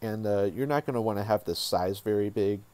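A man with a somewhat low voice walks viewers through software as a tutorial host. His delivery is casual and informal, and he thinks aloud while he works. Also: fairly quick.

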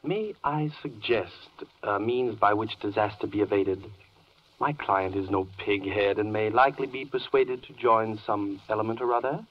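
An actor playing a persuasive, theatrical defense lawyer in a 1940s rhymed radio drama. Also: male voice, lowish voice, normal speed, close to an everyday voice. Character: smooth-talking, persuasive male defense lawyer. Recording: dull, distant 1940s radio recording.

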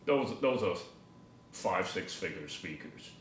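Older dude w a lowish voice chatting as cohost, echoey room. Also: male voice, expressive, slow pace, distant mic. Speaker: co-host of an audio hobby show. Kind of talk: casual hobby discussion. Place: living room table indoors.

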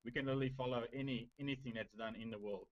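Game dev guy on a video call, talks super fast, lowish voice, muffled audio. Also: steady tone. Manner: rapid, loose, repeats "you know" and "right?". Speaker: game developer. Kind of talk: answering questions on a call.

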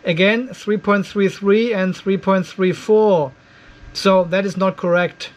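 High-pitched older man, casual tech vlogger. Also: fairly even intonation, takes it slowly. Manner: informal, thinking aloud. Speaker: host of an off-grid battery testing channel. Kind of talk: casual tech vlog, explaining readings while demonstrating. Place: cluttered indoor garage workshop.

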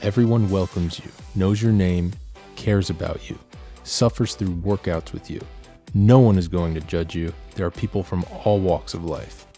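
Male VO, low mellow voice, earnest promo read over music. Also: moderate pace. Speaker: voice-over narrator. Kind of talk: scripted promotional narration.

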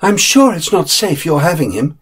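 A man's voice: a little high, big pitch swings, normal speed, fairly bright tone, slightly rough, pitched above the performer's own voice, full character voice. A man voicing a nervous, disapproving wealthy mother. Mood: worried. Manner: fretful, worried.

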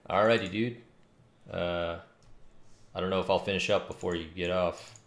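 Thirties man, very low pitch, creaky voice, casual livestream work chatter, roomy sound, typing in background. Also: fairly even intonation, moderate pace. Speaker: game developer livestreaming. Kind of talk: casual thinking aloud while working. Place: indoor home room. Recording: roomy echo, faint noise, keyboard clicks. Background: faint noise, keyboard typing and clicks.

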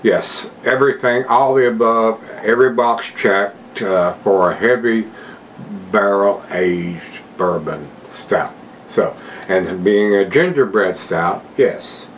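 A man's voice: lowish voice, takes it slowly, slight background noise. An older man at home casually reviewing a beer, chatting to his viewers in a folksy way. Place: home room with a desk and plants, indoors.

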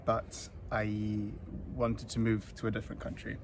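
A man's voice: fairly low pitch, monotone, a little slow. Guy in his 30s chatting in a garden, lots of uhs, traffic noise around. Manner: easygoing, hesitant with many fillers. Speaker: interview guest, volunteer. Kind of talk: casual interview. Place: outdoor community garden. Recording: outdoor noise with passing traffic.

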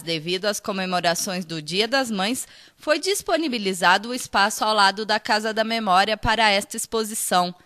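A woman TV news reporter reading a scripted voice-over in a clear, even delivery. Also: mid-range voice.

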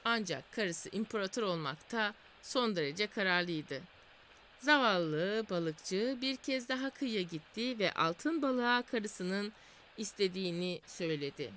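A woman storyteller reading a fairy tale aloud in a sing-song, expressive way. Her mid-pitched voice rises and falls freely, with faint noise behind it. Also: unhurried. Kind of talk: scripted fairy-tale narration.

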